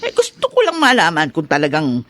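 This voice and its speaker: A woman's voice: deep voice, wildly swooping pitch, brisk, fairly bright tone, exaggerated voice. A Filipino actress playing a haughty, short-tempered rich mother, imperious and scolding in melodramatic radio drama style. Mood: bossy, irritated.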